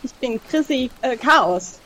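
A woman's voice: sits high, expressive, slow pace, sharp tone, a little hiss or hum, poor audio. A young adult woman talking casually as a guest player in a role-playing game. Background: music.

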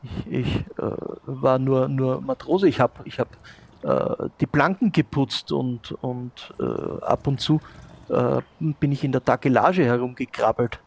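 A man improvising the part of a modest shopkeeper and former sailor, speaking haltingly and repeating words as he searches for them. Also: mid-range voice, vocal fry, a little mellow, breathy edge. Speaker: player in an improvised pirate audio adventure. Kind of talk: improvised in-character role-play dialogue.

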